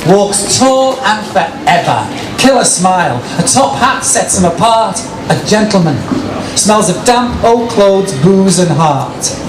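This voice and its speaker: Male performance poet for live outdoor recital; theatrical, drawn-out phrasing, heard from a distance over noisy ambience. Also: high-pitched, animated, roomy sound. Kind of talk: dramatic live poetry recital with casual asides. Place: outdoor city square, poetry tent. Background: street ambience and passers-by noise.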